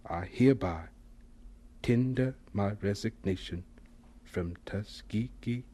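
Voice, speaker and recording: An actor playing an earnest, proud scientist and professor in a radio drama, with a husky voice and an emotional, deliberate delivery. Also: male voice, on the low side, warm tone. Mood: wounded dignity.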